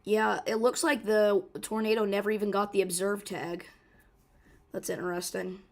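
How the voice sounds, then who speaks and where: A woman hosting a live severe weather stream, her voice hoarse and rough, talking urgently and informally with many fillers and restarts. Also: mid-range voice, level tone, normal speed, a little mellow. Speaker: severe weather livestream host.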